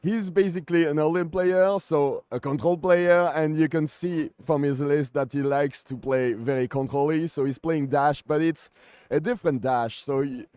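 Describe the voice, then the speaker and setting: A man co-commentating a card game tournament in a conversational, somewhat halting way. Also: on the high side, fairly even intonation, darkish tone, smooth, dry recording.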